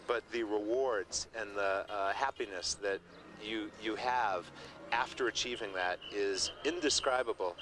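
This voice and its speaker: A man's voice: on the low side, measured pace, a bit bright, a little hiss or hum. A man in his forties speaking earnestly and reflectively in an interview. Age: 40s. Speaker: adventure racer. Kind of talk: interview talk. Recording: background music under speech.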